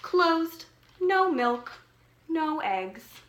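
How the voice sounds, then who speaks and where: A woman's voice: high voice, big pitch swings, drawn-out words, fairly bright tone, roomy sound, a little hiss or hum, pitched above the performer's own voice, exaggerated voice. A woman voicing polite but firm farm animals reading out their written demands in a children's storybook. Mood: curt. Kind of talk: children's storybook read-aloud.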